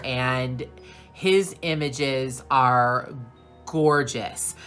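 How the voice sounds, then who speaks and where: Low voiced woman vlogging slowly about her coloring books, bit scratchy throat, soft music behind. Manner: chatty, rambling, slow and deliberate. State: slightly hoarse, clearing throat. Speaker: craft channel host. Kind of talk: casual vlog talk.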